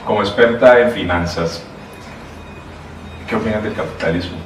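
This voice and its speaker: A man's voice: lowish voice, moderate pace. A man asking questions as an interviewer. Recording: roomy, distant mic, faint noise.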